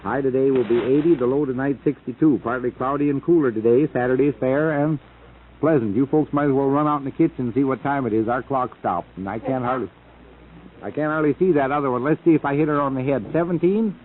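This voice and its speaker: A man's voice: mid-range voice, fast talker, narrow, tinny audio. An American man hosting a casual early-morning radio show, chatting in a folksy, joking, rambling way on a vintage 1950s AM broadcast. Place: radio studio.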